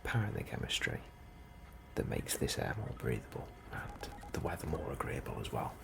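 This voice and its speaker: A man doing a soft, breathy ASMR role-play as an unhurried, politely understated officer interviewing a newcomer. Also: rumbling low. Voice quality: soft, breathy, often near whisper. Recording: steady ambient noise behind the voice. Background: computer and ambience sounds.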